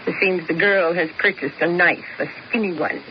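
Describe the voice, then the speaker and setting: An actress playing a lonely, manipulative woman plotting with a hired killer in a 1970s radio drama, smooth and coaxing. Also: fairly low pitch, moderate pace, natural voice. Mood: scheming. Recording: hissy lo-fi old radio recording.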